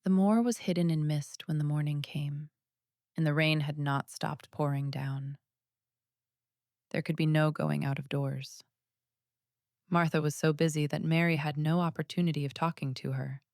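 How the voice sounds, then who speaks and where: A woman's voice: low-pitched, steady tone, moderate pace, darkish tone, dry recording, studio quality. A woman narrating a classic story as a scripted audiobook reading.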